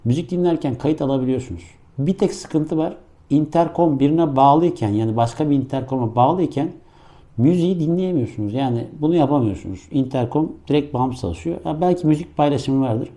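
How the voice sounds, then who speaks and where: A man's voice: fairly high pitch, fairly quick, mellow. A man doing a casual hands-on product review, explaining things step by step in an informal, improvised way. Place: indoor room.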